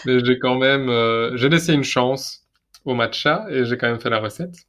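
Man chatting with halting fillers. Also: medium pitch, a bit bright. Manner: halting, many fillers and repeats. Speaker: podcast co-host. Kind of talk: casual chat.

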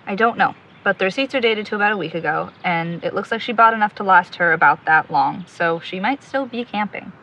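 A woman plays a determined young searcher in a naturalistic audio drama. Her voice is bright and crisp-edged at a middle pitch, brisk and quick to exasperation. A faint vehicle rumble sits behind her. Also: dull audio, lightly acted. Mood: focused.

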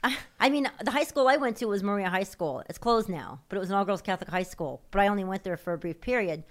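A woman's voice: mid-range voice, fast talker. Chatty woman from Chicago. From south side of Chicago, USA. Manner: chatty, laughs easily. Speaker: podcast guest. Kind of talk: casual conversation.

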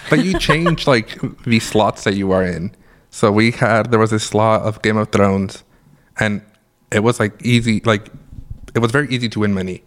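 A young man with a fairly low voice, hoarse and raspy from just waking up. He rambles through casual stories with a joking, laughing manner, chatting as a podcast co-host. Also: normal speed. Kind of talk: casual chat.

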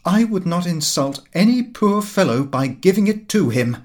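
A man's voice: high voice, sing-song, normal speed, a little mellow, pitched above the performer's own voice. A man voicing a cocky, ironic young clerk in an audiobook, with a sardonic, emphatic manner. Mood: scornful.

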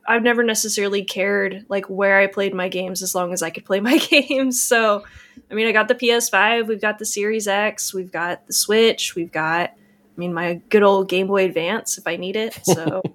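A woman's voice: medium pitch, normal speed, fairly bright tone. A woman speaking casually as a guest on a podcast. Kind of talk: casual chat.

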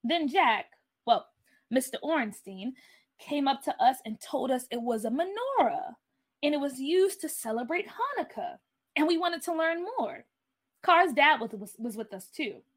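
A woman's voice: high voice, animated, a little slow. A woman voicing a friendly, nostalgic mother in a story, chatty and explaining. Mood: fond, nostalgic. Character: nostalgic, friendly mother of a school-age child.

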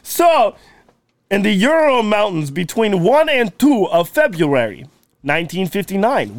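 Podcast co-host, man, high lively voice, fake Russian accent for laughs, dry close mic. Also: no echo. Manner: mock Russian accent, put on throughout; joking, hammy, deliberate phrasing. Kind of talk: casual podcast chat.

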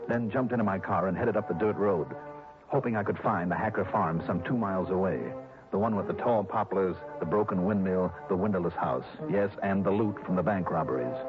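Male actor as tough detective, low voice, clipped pressing delivery, vintage radio drama, dull recording. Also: close to an everyday voice. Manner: clipped, pressing, hard-boiled. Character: tough, insistent male insurance investigator. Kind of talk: 1960s radio drama acting and narration.

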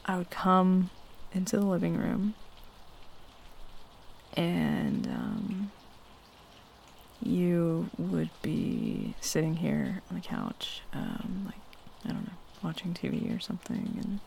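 Woman doing a shy flustered tomboy roommate in asmr roleplay, lowish creaky voice, halting, smitten. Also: wildly swooping pitch, darkish tone, exaggerated voice. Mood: tender, hesitant. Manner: halting, hesitant, flustered with nervous chuckles. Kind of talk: ASMR roleplay, intimate one-sided dialogue. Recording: faint rain noise behind close voice. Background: rain falling.

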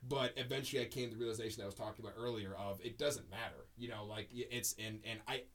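A man's voice: fairly low pitch, steady tone, quick pace, a bit bright. A man hosting a podcast in casual chat, telling stories in a rambling, emphatic way, full of ums and restarts. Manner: rambling, heavy on fillers and restarts, emphatic storytelling. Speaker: podcast host.

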